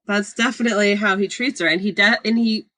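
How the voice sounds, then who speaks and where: A woman's voice: medium pitch, steady tone, quick pace, pushed and bright, dry recording. A woman in a casual book discussion on a podcast, talking informally with a wry manner. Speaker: podcast panelist.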